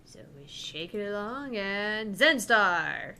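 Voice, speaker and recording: A woman's voice: medium pitch, animated, takes it slowly, pushed and bright, smooth. A young woman hosting a visual novel let's play, reading dialogue aloud and chatting in a casual, joking way. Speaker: gaming channel host.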